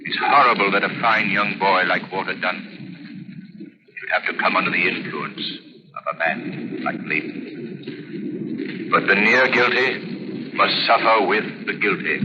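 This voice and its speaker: An actor playing an earnest, righteous gentleman crime-fighter in a declamatory 1930s radio drama style. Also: low voice, long reverb tail, background noise. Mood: solemn. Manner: solemn, declamatory, measured moralizing. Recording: muffled 1930s radio recording.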